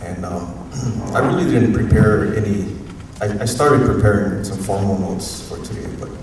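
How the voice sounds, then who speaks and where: A man's voice: on the low side, normal speed. Man with a Hawaiian accent in an echoey hall. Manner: informal, rambling, chuckling often. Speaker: university professor, speaker. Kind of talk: unscripted talk at a community forum. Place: museum auditorium. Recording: echoey hall, distant mic, faint noise.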